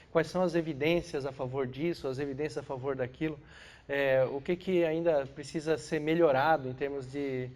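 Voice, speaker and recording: A man's voice: fairly high pitch, a little mellow. A Brazilian man giving an astrophysics class lecture, congested with a cold, explaining didactically with short tag questions.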